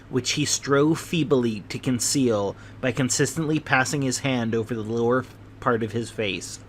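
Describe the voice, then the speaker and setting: A man reading a classic novel aloud as narrator in a steady, plain manner. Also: mid-range voice, slight background noise. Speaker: audiobook reader.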